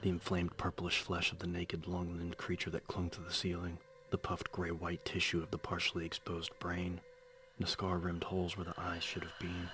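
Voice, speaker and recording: A man narrating a horror audiobook in a dramatic scripted style, with measured, suspenseful storytelling. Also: low-pitched, completely flat delivery, bright, forceful tone. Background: music.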